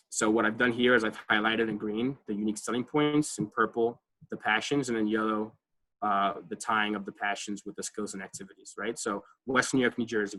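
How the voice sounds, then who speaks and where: A man's voice: lowish voice, pushed and bright, low-quality recording. A man presenting a college advising workshop over a video call, talking casually with many fillers and checking in with "right?". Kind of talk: online class presentation.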